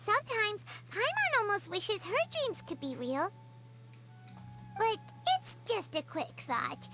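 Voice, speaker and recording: A woman voicing a tiny, excitable floating girl companion who talks about herself in the third person. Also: extremely high pitch, slow pace, exaggerated voice. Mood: thoughtful. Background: game music.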